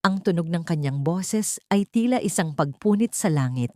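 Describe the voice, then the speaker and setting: Low, mellow woman narrating drama. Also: expressive, normal speed, no echo, clean studio sound. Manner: solemn, dramatic. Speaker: audiobook narrator. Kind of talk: scripted dramatic story narration.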